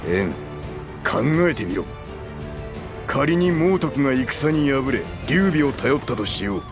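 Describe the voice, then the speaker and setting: An actor playing a gruff middle-aged general in a video game, distrustful and firm, with rough, blunt speech. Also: male voice, mid-range voice, animated, a little slow, over music, lightly acted. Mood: stern, insistent. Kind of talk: Japanese video game cutscene acting.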